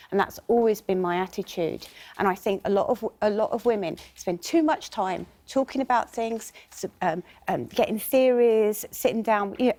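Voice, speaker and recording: A woman's voice: mid-range voice, a little mellow. A woman in her fifties speaking on a studio panel, forthright, emphatic and blunt. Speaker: panel guest.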